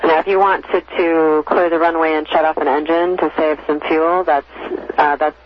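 A slightly high-voiced man speaks as an air traffic controller over a dull, narrow radio channel. He is courteous and explains things as he goes. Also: flat delivery, moderate pace. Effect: aviation radio. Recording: muffled aviation radio, faint static.

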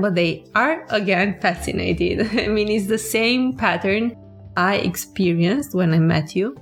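Woman, podcast co-host, low gravelly voice, reflective, faint music behind. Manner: reflective, searching for words. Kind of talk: casual podcast conversation.

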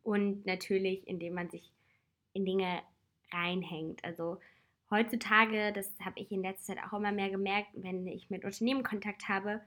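A woman's voice: mid-range voice, flat delivery, moderate pace. Woman talking with a cold. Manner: reflective, chatty, with soft laughs. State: has a cold. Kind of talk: casual personal advice talk.

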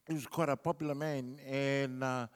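A man's voice: medium pitch, steady tone, normal speed, clean tone, big-room sound. A middle-aged New Zealand man whose first language is Samoan, telling a rambling stand-up story full of fillers. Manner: laid-back and rambling, with many fillers. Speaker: comedian.

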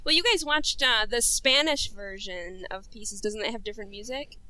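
A woman on a film podcast, excitedly guessing music in casual chat. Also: medium pitch, normal speed, poor audio. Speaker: podcast panelist.

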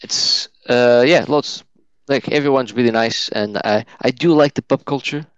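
A man's voice: mid-range voice, normal speed, clear, resonant tone, dry recording. A man from Portugal, a Portuguese native, chatting as a podcast guest, hesitant with many fillers and restarts. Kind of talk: casual chat. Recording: dull lo-fi dry sound.

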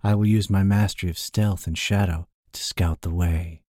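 A sly, world-weary fantasy hireling, as a male reader plays him. The voice sits low, sour and dry under a show of deference, in a close, dry recording. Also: steady tone, moderate pace, dark tone, no echo. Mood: smooth, weary.

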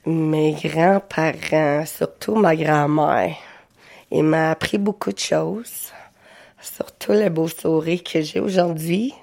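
A woman in her thirties with a Quebec French accent, telling personal stories slowly and haltingly in simple phrases. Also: low voice, steady tone, gravelly, darkish tone. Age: 30s. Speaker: interviewee, craftswoman. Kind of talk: personal storytelling.

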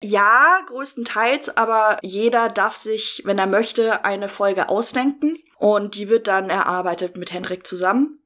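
A woman's voice: mid-range voice, a little slow, hardly any pauses, bright, forceful tone. A woman, chatting casually as a podcast guest and actress. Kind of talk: casual chat.

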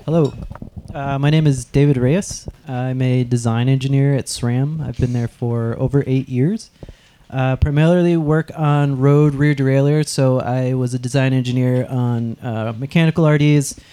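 A man's voice: medium pitch, steady tone, normal speed, warm tone, smooth. A man born in Mexico whose first language is Spanish, introducing himself as an engineer on a live panel, hesitant with many uhs.

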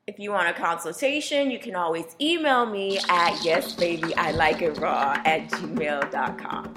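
A woman's voice: on the low side, moderate pace, over loud music. A woman hosting a health radio talk show, talking casually and chatting with a laugh in her voice.

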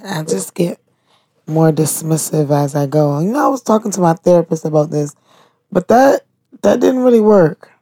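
A woman's voice: on the low side, animated, normal speed, mellow, breathy edge. A woman chatting casually on a podcast, frank and dismissive, with some swearing. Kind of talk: casual chat.

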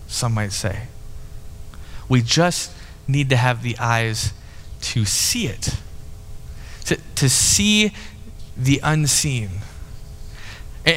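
Man, 30s, pastor; conversational sermon, higher voice, reverberant hall. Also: bright, forceful tone. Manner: conversational, candid, with frequent restarts and "like".

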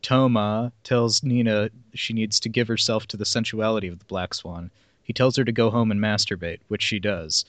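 A man's voice: on the low side, fairly even intonation, normal speed, fairly bright tone, dry recording. A man hosting a podcast, reading a plot summary aloud with casual asides. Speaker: podcast host reading film synopses.

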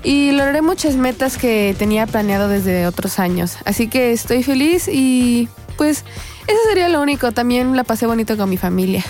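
A teenage girl, chatting on a school radio show, hesitant and filling pauses as she talks. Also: on the high side, animated, a little mellow, faint music behind. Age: teens. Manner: hesitant, lots of fillers. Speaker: student radio co-host. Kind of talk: casual radio chat.

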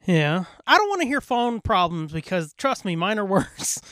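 Animated, crude-joking male podcast co-host. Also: high-pitched, moderate pace, dry recording, studio quality. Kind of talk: casual comic banter.

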